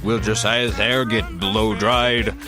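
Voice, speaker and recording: A man's voice: fairly low pitch, steady tone, takes it slowly, music in the background. A man playing a mock-serious, grandiose narrator of a comedy radio serial. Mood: suspenseful. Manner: melodramatic, grandiose.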